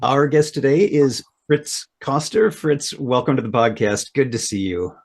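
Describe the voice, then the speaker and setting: A man's voice: mid-range voice, measured pace. A man hosting a mindfulness podcast, introducing and questioning his guest in a friendly, conversational way. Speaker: podcast host. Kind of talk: podcast interview.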